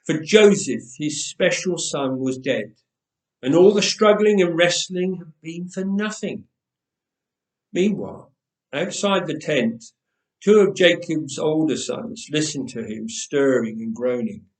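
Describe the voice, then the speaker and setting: A man reading a story aloud with expressive, dramatic pauses, recorded in an echoey church. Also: a little high, far from the mic. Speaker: storyteller, reader. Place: church, indoors.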